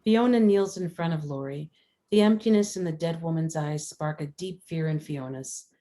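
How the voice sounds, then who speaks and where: A woman's voice: low voice, moderate pace, dark tone. A woman narrator reading screenplay stage directions aloud in a scripted style.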